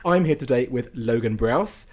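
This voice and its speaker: A man's voice: medium pitch, expressive, moderate pace, darkish tone. A man hosting a podcast, introducing the show and interviewing a guest with dry, self-deprecating humor. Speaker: podcast host. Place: Shanghai.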